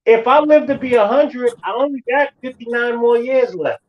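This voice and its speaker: A man in his forties with a notably high-pitched voice talks in an easy, conversational way. He repeats his phrases to hammer home a point, like someone preaching to a friend. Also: normal speed, highs cut off. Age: 40s. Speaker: host. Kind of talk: casual chat.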